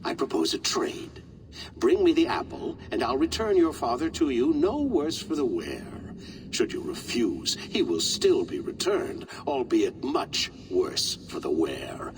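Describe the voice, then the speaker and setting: An actor playing a smug, menacing game villain who speaks with measured false courtesy and deliberate pauses. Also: male voice, mid-range voice, big pitch swings, recorded from a distance, a little hiss or hum. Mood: smooth menace. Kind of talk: dramatic video game acting.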